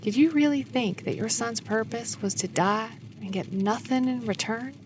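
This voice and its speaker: A woman's voice: a little high, moderate pace, slight background noise, close to an everyday voice. A woman playing an older wife and grieving mother, frank and consoling, in an audio drama. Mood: sombre, consoling.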